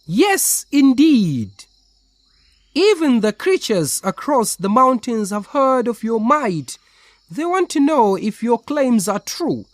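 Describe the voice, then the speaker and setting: A woman voicing a clever, cheeky little male spider in a children's story, speaking in a theatrical, drawn-out way. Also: a little high, very animated, no room sound, pitched above the performer's own voice. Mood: sly flattery. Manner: theatrical, slow, drawn-out challenges.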